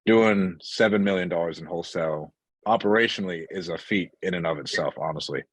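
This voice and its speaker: Fast, low-voiced male podcast host. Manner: slangy, bro-heavy, swearing. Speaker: podcast host interviewing entrepreneurs. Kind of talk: casual podcast interview.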